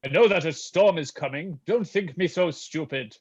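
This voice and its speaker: A man's voice: on the high side, moderate pace, breathy edge. Man playing a menacing troll king, muffled recording. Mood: gloating. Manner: archaic, theatrical threatening speech. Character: menacing, haughty troll king who eats humans.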